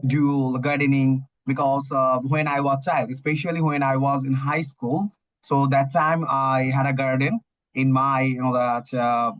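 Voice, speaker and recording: A man's voice: mid-range voice, level tone, measured pace, a bit bright, no room sound, dull audio. A young man from Bangladesh with a Bangladeshi accent, a student talking as a guest in a casual English practice chat, hesitant and full of "you know that" and "uh". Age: young adult.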